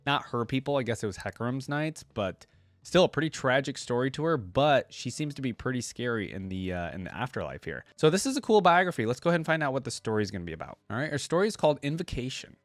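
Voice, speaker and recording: A man's voice: medium pitch, very animated, fast, no echo. A man hosting a reaction video, switching between casual, informal commentary and reading a story aloud.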